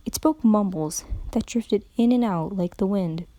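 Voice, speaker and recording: Woman narrator, dramatic story reading, very slow and mellow, dry close sound. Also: mid-range voice, no echo. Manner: slow, brooding, dreamlike.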